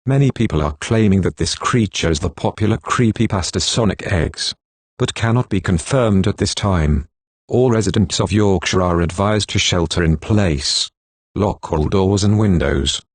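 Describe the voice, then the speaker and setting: Low, dry synthetic male alert announcer. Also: normal speed, dry recording. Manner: formal, synthetic delivery. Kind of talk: scripted emergency broadcast, text-to-speech.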